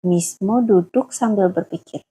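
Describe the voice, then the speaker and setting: A woman storyteller narrating a children's bedtime-style tale gently, pausing to explain words to a child listener. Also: mid-range voice, breathy edge.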